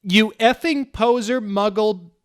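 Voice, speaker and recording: A jealous goth teenage boy, put on by a male reader, hysterical and outraged. The voice leaps high into a shriek, played for comedy in a fan fiction reading. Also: slow pace, smooth.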